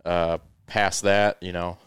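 Male podcast host, low and smooth, laid-back and chatty, recorded close and dry. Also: slow pace, no echo. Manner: relaxed, rambling, many fillers. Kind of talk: casual explanatory gear talk.

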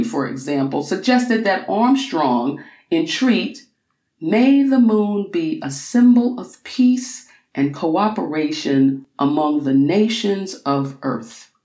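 A woman reading literary, scholarly prose aloud in a measured, deliberate way, with expressive phrasing and drawn-out words. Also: medium pitch, echoey room. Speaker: reader of a book excerpt.

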